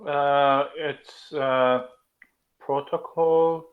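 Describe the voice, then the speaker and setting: A man answering as a student in a class, hesitant and halting. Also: a little high, fairly even intonation, narrow, tinny audio.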